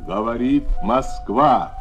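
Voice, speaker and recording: A man makes a solemn, declamatory 1940s wartime radio proclamation in measured phrases on an old recording. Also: medium pitch, muffled recording, music in the background.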